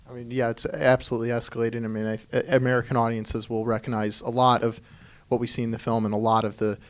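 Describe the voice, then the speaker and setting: A man's voice: medium pitch, little pitch movement, fast. A man interviewing filmmakers, asking loose, rambling questions full of fillers. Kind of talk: press interview.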